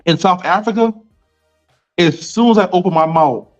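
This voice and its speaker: A man's voice: sits high, moderate pace, darkish tone. Laid-back American man, slangy and repetitive. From United States. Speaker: interviewee. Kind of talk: casual conversational interview. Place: Nairobi. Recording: dull lo-fi sound with faint music.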